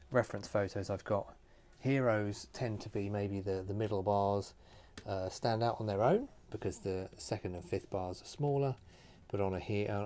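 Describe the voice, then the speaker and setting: A man with a fairly low voice hosts a hobby tutorial. He talks casually and without a script, explaining each step and thinking aloud as he works. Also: warm tone. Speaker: host of a hobby craft tutorial. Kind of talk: casual, unscripted how-to commentary while painting. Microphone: phone recording.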